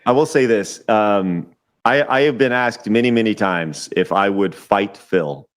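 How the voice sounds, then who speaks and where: A man's voice: on the low side, unhurried. A man in his forties, a podcast guest chatting casually over a call, often repeating words and restarting his sentences. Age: 40s. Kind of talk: casual chat. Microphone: remote call audio.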